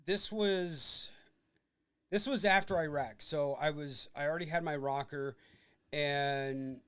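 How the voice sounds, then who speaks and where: A man with a loud, booming voice and a slightly raised pitch tells a story slowly, pausing on ums and laughing along. The recording is close and dry, with no room sound. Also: clear, resonant tone, dry recording. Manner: laughing, crude, hesitant with many ums. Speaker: podcast guest, military veteran. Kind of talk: casual storytelling chat.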